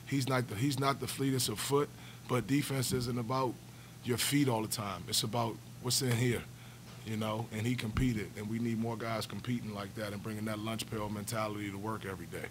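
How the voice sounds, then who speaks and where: A man's voice: fairly low pitch, steady tone, fairly quick, slight background noise. A man in his forties, a basketball coach answering questions after a game at a table mic in a press room, earnest and often repeating words as he restarts. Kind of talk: postgame press conference answers. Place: press room, seated at a table before a backdrop. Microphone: table stand mic.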